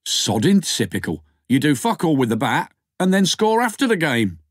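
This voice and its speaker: A man's voice: fairly high pitch, very animated, moderate pace, no echo, studio quality, voice pushed up. A man voicing a bumptious, crude male doctor in an audiobook, with a jeering manner. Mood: mocking. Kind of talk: audiobook character dialogue.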